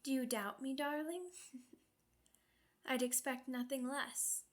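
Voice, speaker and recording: A woman's voice: high voice, fairly even intonation, slow. A woman playing a sadistic, seductive vampire queen in an ASMR roleplay, with a purring, condescending, theatrical delivery. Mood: coaxing. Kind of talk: ASMR roleplay, scripted villain monologue.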